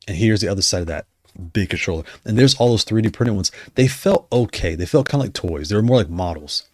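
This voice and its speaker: A man chatting casually on a livestream, rambling and correcting himself as he goes, his voice going hoarse. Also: low voice, no room sound. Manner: rambling, self-correcting, conversational. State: losing his voice. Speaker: streamer discussing controllers.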